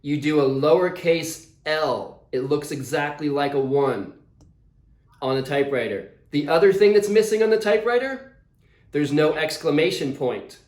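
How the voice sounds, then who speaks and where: A man's voice: a little high, expressive, normal speed, a little mellow. A man speaking as a visiting author, casually and enthusiastically explaining things to schoolchildren over a video call. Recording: roomy, echoey video call.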